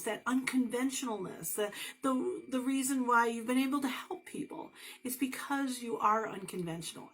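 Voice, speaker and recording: A woman's voice: high voice, fairly bright tone, low-quality recording. A woman and former math teacher giving an earnest, encouraging motivational talk to her viewers, with playful asides.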